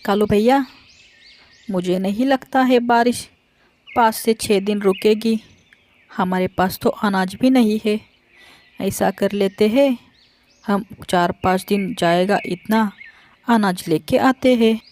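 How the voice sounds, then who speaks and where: A woman voicing a kind, helpful female sparrow with a gentle, earnest manner in a children's cartoon. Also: medium pitch, takes it slowly, pauses often, pitched above the performer's own voice, close to an everyday voice.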